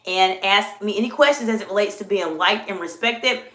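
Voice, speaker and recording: A woman's voice: mid-range voice, sharp tone. Southern-accented woman coaching live, echoey room. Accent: Southern US mixed with New York. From American South. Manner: drawn-out emphatic words, reads chat aloud. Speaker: executive coach and channel host. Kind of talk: live-stream coaching talk.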